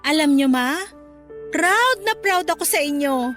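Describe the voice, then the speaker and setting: A young adult Filipina daughter in an emotional radio drama. Her voice is extremely high, polite and earnest, devoted and forgiving in tone. A music bed sits under her lines. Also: animated, unhurried, a bit bright. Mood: teasing, surprised.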